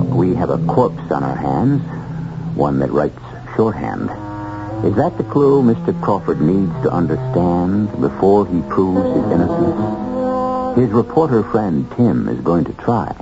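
A man's voice: deep voice, very animated, slow pace, vocal fry, full character voice. A man narrating a 1970s radio mystery in a theatrical, suspenseful manner, posing rhetorical questions. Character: ominous storytelling host of a radio mystery. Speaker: host and narrator. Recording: dull old radio recording, music behind. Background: dramatic music.

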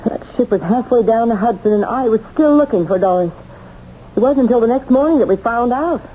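A young female office worker, acted by a woman in a vintage radio drama. She is guarded but chatty, mellow and very expressive in pitch. The old recording is muffled with faint noise. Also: fairly quick, close to an everyday voice. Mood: uneasy recollection. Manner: conversational, hesitant, emphatic exclamations. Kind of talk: 1970s radio drama acting.

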